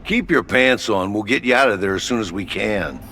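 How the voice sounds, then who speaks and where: A man playing a gruff, brash military headquarters officer in a video game, giving brusque, colloquial orders. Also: on the low side, a little fast, pushed and bright, slight background noise. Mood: urgent, brusque.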